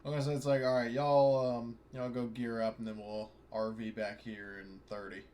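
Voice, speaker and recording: A man's voice: mid-range voice, flat delivery, moderate pace, darkish tone. A man playing an online tabletop role-playing game, talking casually and hesitantly, often rambling and correcting himself. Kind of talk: casual in-character and out-of-character game talk. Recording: clearly noisy online voice chat.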